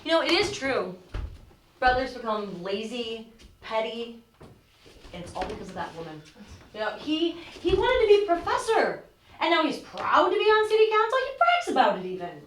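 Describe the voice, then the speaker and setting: A woman acting a weary, despairing young woman of twenty-three in a stage play, speaking in halting, stammering bursts. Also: on the high side, sing-song, fairly bright tone, slight background noise. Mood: weary lament. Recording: roomy stage sound from far off.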